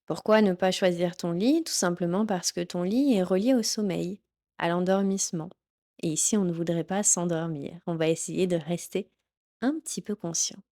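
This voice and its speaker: A woman teaching yoga nidra, leading a guided relaxation in a gentle, soothing, instructional manner. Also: on the low side, normal speed. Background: background music.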